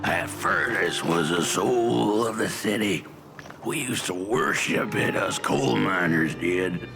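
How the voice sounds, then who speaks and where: Half-dead old coal miner, very slow, low-pitched, wry, folksy drawl, video game character. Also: male voice, expressive, over music, full character voice. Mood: wistful. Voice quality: gravelly. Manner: folksy, rambling, throat-clearing drawl.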